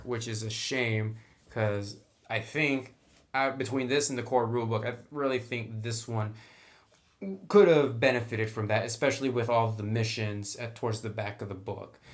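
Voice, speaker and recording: A man talks through a book review in a loose, informal style. His voice sits at an average pitch, and he explains each point conversationally, as unscripted commentary.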